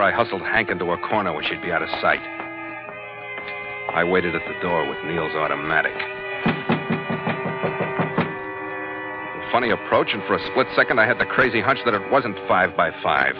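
An actor playing a big, tough ship's skipper in a terse, commanding, old-time radio adventure style. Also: male voice, sits low, fast, natural voice. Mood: wary. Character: big, tough, hard-boiled male ship's skipper, narrating his adventures. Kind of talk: 1940s-50s radio adventure acting, clipped first-person narration. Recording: dull old radio recording, loud music behind.

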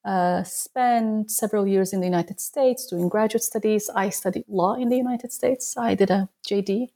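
A middle-aged woman speaks as an interview guest. Her voice is mellow and relaxed, with a creaky fry, and she feels her way haltingly through her answers. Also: medium pitch. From Turkey. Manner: halting, searching for words. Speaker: guest, human rights researcher and author.

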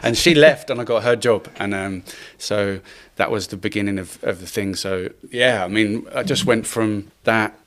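Casting: middle-aged man from Wales, lowish voice, loose and sweary interview guest who acts out quotes. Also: moderate pace, few pauses, a bit bright. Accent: English, lost Welsh accent. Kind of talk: casual interview storytelling.

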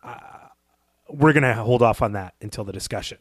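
A man co-hosting a podcast in analytical literary discussion, thoughtful and measured with halting phrasing. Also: mid-range voice, husky, no echo, studio quality. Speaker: podcast co-host.

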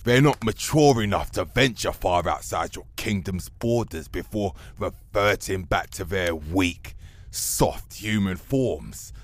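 An actor playing a fearsome werewolf army commander in a comic fantasy audio drama, gruff, theatrical, bragging and fawning to a superior. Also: male voice, low voice, takes it slowly. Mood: apologetic. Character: fearsome male werewolf army commander, boastful yet servile.

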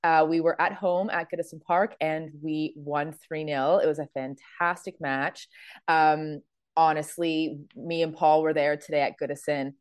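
A woman hosting a casual sports podcast, her voice hoarse and raspy from screaming, chatty with many fillers and laughs. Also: sits low, fairly even intonation, measured pace, no echo, studio quality. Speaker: podcast host. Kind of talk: casual chat.

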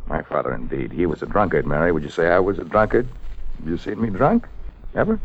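An actor playing an affectionate but stubborn, defensive young husband who drinks, with stagey, sentimental old radio-drama phrasing. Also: male voice, low voice, very animated, fast, breathy edge, exaggerated voice. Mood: defensive, brooding. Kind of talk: 1940s-50s radio drama acting. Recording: muffled, hissy vintage radio recording.

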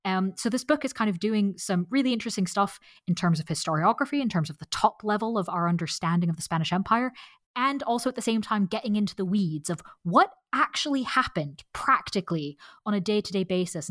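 A woman host of middle pitch introduces a guest with friendly enthusiasm and an occasional um. Her voice sounds dry and close, with no room echo. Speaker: podcast host. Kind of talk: podcast interview introduction.

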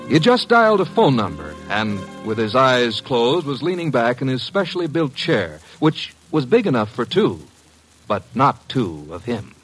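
A man announcing in 1950s radio style, emphatic and showmanlike, with a husky voice. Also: mid-range voice, sing-song, moderate pace, fairly bright tone, faint music behind, poor audio. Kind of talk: 1950s radio announcing, scripted promo and narration.

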